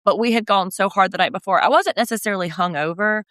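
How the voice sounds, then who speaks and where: A woman with a slightly deep voice, co-hosting a podcast in sardonic, chatty recap talk. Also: fast, no echo, studio quality. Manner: chatty, sardonic, animated asides. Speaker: podcast co-host. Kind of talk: casual recap chat.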